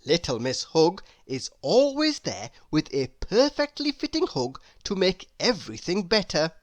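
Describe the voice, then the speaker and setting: Woman, children's storybook narrator, lower-pitched, very lively intonation, emphatic, unhurried, dry close sound. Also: no echo. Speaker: storybook reader.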